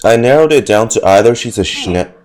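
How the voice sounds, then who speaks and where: Male game streamer, low voice with animated swings, sarcastic and foul-mouthed casual commentary. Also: a little fast. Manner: sarcastic, profane. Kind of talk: casual live gameplay commentary. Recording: game music behind the voice. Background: game music.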